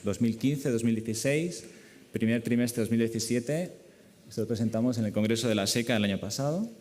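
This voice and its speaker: A man's voice: mid-range voice, dark tone. A man with an accent from Spain giving a medical conference lecture as a surgeon, speaking in an echoey lecture hall. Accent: Spanish from Spain.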